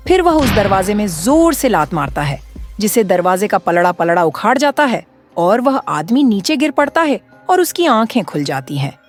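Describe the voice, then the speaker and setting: A woman narrates a horror cartoon story with a lively, animated rise and fall in her pitch. Her delivery stays steady, with faint music in the background. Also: normal speed.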